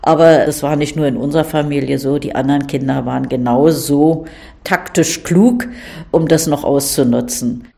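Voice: medium pitch, steady tone, moderate pace, gravelly, warm tone. A speaker fluently telling a family story in an interview. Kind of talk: interview answers.

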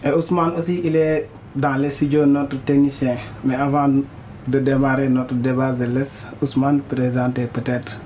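A man hosting a radio talk show, speaking informally with halting phrasing. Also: medium pitch, little pitch movement, dark tone, slight background noise. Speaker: radio host.

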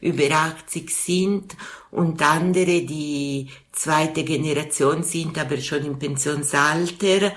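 Lady, really low voice, lots of uhs and restarts, roomy echo. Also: slow pace. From Switzerland. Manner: hesitant, many fillers and restarts. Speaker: guest, psychotherapist and author. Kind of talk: conversational interview.

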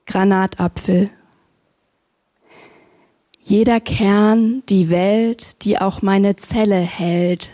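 A woman poet introducing herself and reading her poem aloud in a slow, measured, gently hesitant way at a live reading. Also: medium pitch. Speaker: poet and facilitator.

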